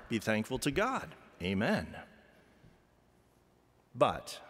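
A middle-aged man preaching a chapel sermon in measured, emphatic phrases with deliberate pauses. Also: medium pitch. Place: large chapel, indoors at a pulpit. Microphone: gooseneck lectern microphone. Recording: reverberant hall with long echo.

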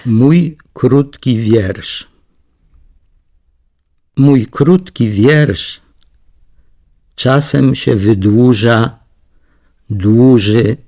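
A man reciting a short poem in a drawn-out, deliberate way. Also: mid-range voice, mellow. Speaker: poem reader. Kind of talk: poetry recitation.

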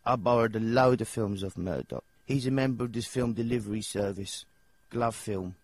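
An actor with an English accent voicing a nervous, dim, childlike young singer in a video game, hesitant and rambling in slangy speech. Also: male voice, lowish voice, moderate pace, no room sound, close to an everyday voice. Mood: pleased, rambling. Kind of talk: video game character dialogue.

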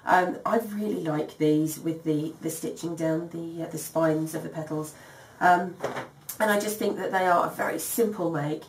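A low-pitched woman in her thirties hosts a home sewing tutorial, her voice still rough from a cold. She chats casually and keeps filling gaps with ums, heard from a little way off in an echoey room. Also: darkish tone, a little hiss or hum. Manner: chatty, many ums, apologetic. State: recovering from a cold, voice strained. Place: home dining room by garden windows. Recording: echoey room, slightly distant mic.

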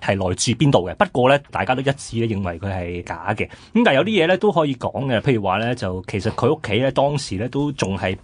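A man's voice: medium pitch, big pitch swings, quick pace, hardly any pauses, breathy edge. A man with a Hong Kong accent co-hosting a radio history discussion in a conversational, explanatory manner. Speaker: radio co-host.

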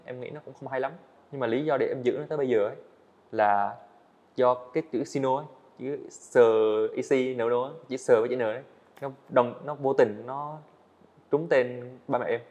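Young man, podcast guest, rapid talk, earnest, slightly muffled sound. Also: mid-range voice, darkish tone. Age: young adult. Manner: earnest, explains at length with fillers. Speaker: guest, student animator. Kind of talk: casual interview talk. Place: studio set, indoors.

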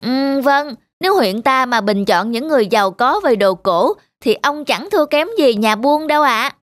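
Woman voicing an eager young servant boy, high-pitched. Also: lively intonation, moderate pace. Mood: respectful, admiring. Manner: polite, deferential, inquisitive. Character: young male servant, eager, respectful, innocent. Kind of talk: dubbed moral folk-tale cartoon dialogue.

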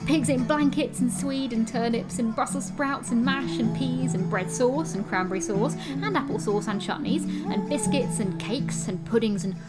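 A woman's voice: a little high, animated, normal speed, hardly any pauses, natural voice. A woman playing a jolly, theatrical storyteller, narrating an audio drama with relish and rhythmic lists. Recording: loud music behind the voice.

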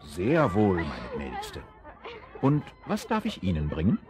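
A man's voice: deep voice, huge pitch swings, a little slow, exaggerated voice. An actor playing a cheerful father hosting a children's birthday party, playfully courtly. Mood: gallant.

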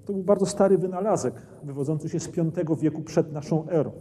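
Middle-aged male presenter, explanatory, mellow but husky, long hall reverb. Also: a little high, quick pace. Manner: explanatory, points at armour as he speaks. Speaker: documentary presenter. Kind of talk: presenting a history documentary. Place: dim brick castle armoury, indoors. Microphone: lapel mic.

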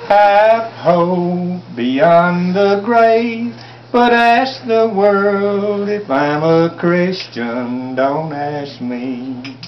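An older man with a hoarse voice slowly singing hymns from a hymnbook in a small home kitchen, picked up by a home video camera a few feet away. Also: high voice, a little hiss or hum. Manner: slow, plain singing of verses read from a hymnbook. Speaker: family member singing hymns. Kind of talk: unaccompanied hymn singing. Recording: roomy, dull lo-fi home video.

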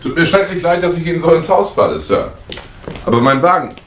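An actor playing an apologetic, polite young teacher in a 1960s German radio play. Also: male voice, a little high, big pitch swings, a little fast. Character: apologetic young male physics teacher, stranded motorist. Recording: old vinyl record with surface noise.

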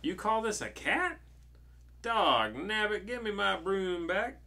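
Mom doing dramatic storybook witch, pitched up high, exaggerated n excitable, slight noise behind. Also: slow pace, exaggerated voice. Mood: indignant. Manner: wailing, exaggerated complaining. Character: grumbling, theatrical witches in a rained-on parade.

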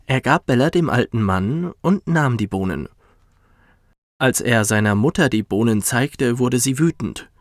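A man gently and expressively narrating a scripted bedtime story for children, as a professional reader. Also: medium pitch, animated, a little slow, no echo, studio quality.

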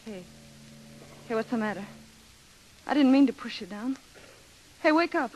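A woman's voice: a little high, animated, normal speed, rough recording. An actress playing a blunt, slangy American young woman, a defiant captain's daughter in a 1930s radio drama. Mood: alarmed. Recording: hissy 1930s radio broadcast.

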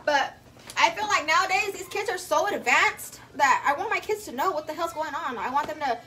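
A woman's voice: high voice, animated, fairly quick, sharp tone, distant mic, soft background music. A woman, chatting casually and greeting viewers by name while she cooks in her home kitchen, recorded on a phone a few steps away. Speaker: vlog host cooking live. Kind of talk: casual live-stream chat. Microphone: phone camera a few steps away.

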